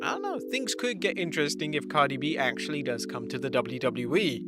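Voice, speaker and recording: A man's voice: fairly high pitch, expressive, brisk. A man narrating scripted wrestling news with casual asides. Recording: voice-over with loud background music.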